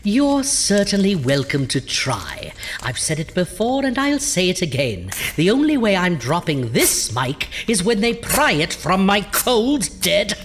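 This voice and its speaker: Theatrical undead horror host, swooping voice. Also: male voice, high voice, huge pitch swings, fairly bright tone, full character voice. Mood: defiant, indignant. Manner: theatrical, indignant, drawn-out delivery. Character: sardonic, theatrical undead male crypt-keeper horror host.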